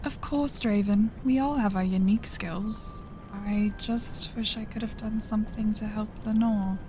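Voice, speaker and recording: A woman playing an eerie, solemn mystic adventurer in a fantasy horror role-play, speaking in measured, incantatory phrases. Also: medium pitch, fairly even intonation, noisy background, close to an everyday voice. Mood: gentle regret. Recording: loud music behind the voice.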